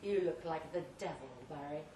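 A woman voicing a sly, insinuating male driver in a live story reading, speaking in a suggestive, halting way. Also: sits low, warm tone, a little hiss or hum, pitched below the performer's own voice, natural voice. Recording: roomy live pub recording, distant mic.

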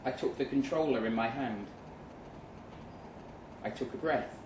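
A man giving a measured, dramatic live reading of literary first-person fiction. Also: medium pitch. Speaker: story reader. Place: pub event room, live reading. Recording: roomy, distant live venue recording, faint noise.

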